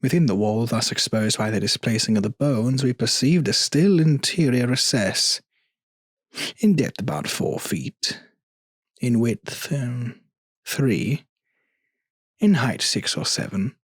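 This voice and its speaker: A male narrator with a husky, mid-pitched voice and a very unhurried pace. He reads a gothic tale dramatically, with deliberate pauses, in a dry, close recording. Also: expressive, dry recording.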